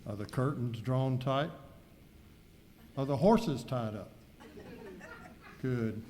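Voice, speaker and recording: Man putting on a slow, ominous western spoof narrator. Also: medium pitch, mellow, natural voice. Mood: mock-ominous. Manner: theatrical, mock-ominous, drawn-out. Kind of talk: amateur live radio skit narration. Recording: echoey hall, noisy live recording.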